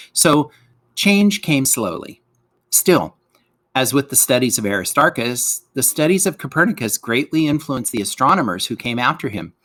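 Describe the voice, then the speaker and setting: Man reading aloud with lively, swooping intonation. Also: medium pitch. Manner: explanatory, poses rhetorical questions, stresses key words. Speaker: teacher reading a lesson aloud. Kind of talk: educational read-aloud of scripted narration for children.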